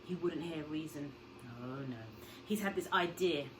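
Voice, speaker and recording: An emotional mother from a children's storybook, voiced by a woman reading aloud. She speaks in emphatic exclamations. The recording has clear noise behind the voice. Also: deep voice, moderate pace, close to an everyday voice. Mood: upset, exasperated.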